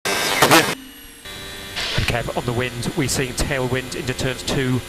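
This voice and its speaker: A man's voice: mid-range voice, steady tone, takes it slowly, over loud music. A man working as a race engineer, giving clipped, procedural instructions over team radio and repeating key calls. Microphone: radio headset. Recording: noisy motor racing team radio. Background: loud engine and track noise.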